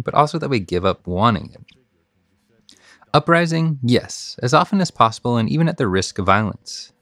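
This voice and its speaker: Low-voiced man reading, dry sound. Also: no echo. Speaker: podcast host and reader. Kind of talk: scripted reading of essays and news with commentary.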